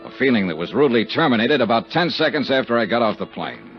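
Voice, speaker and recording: On a dull 1950s radio recording, a hard-boiled male insurance investigator. His middle-pitched voice moves in lively swings. He is wry and clipped, tossing out lines like a seasoned wisecracker. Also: fast talker, over music. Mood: dry narration. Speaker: lead actor and narrator of a radio detective serial. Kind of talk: 1950s radio drama acting.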